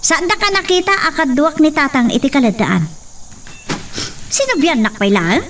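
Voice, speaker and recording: Radio drama heroine played by a woman: young, defiant, high voice, Ilocano accent, slightly distant recording. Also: very animated, moderate pace, a bit bright. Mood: defiant, confronting. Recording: distant mic, faint background noise.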